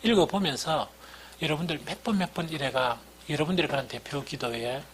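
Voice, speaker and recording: A man's voice: on the high side, brisk, a bit bright, a little hiss or hum. A middle-aged man with a Busan-area Gyeongsang accent preaching as a pastor in an informal, conversational teaching style, asking rhetorical questions and repeating key points.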